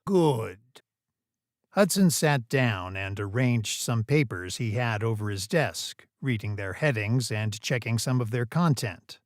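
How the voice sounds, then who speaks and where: A man's voice: fairly low pitch, animated, a little slow, few pauses, no echo, clean studio sound. A man reading scripted third-person story narration as the narrator.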